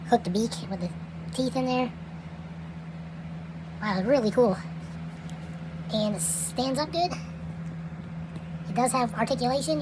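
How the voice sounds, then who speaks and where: Woman, hobby reviewer, casual and rambling unboxing talk; home recording with clear background noise. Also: medium pitch. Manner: relaxed, chatty, with frequent fillers and trailing phrases. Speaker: toy reviewer. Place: indoors at night.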